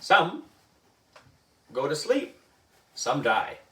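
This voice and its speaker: A man voicing an eccentric, unflappable male science teacher who explains things calmly in a storybook. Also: a little high, drawn-out words, roomy sound, distant mic, heavily acted. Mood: matter-of-fact.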